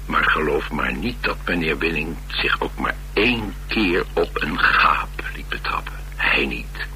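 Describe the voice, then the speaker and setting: A man's voice: extremely low pitch, animated, a little slow, sharp tone, heavily acted. A man with a Netherlands Dutch accent playing a blunt, world-weary chauffeur in a radio drama, confiding and sardonic. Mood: sardonic storytelling. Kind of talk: radio drama acting, colloquial storytelling. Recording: radio drama with faint hum and noise.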